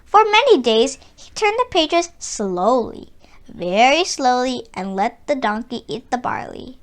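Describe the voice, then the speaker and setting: High-voiced, animated woman reading a storybook. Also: unhurried. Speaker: children's story reader. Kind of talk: read-aloud storybook narration.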